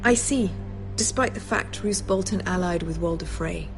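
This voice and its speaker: An imperious middle-aged queen regent in a fantasy game, with a lowish voice. She is suspicious and scheming, measuring out each cutting phrase with haughty disdain. Music plays behind her. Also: normal speed, gravelly. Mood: measured veiled threat. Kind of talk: dramatic video game voice acting.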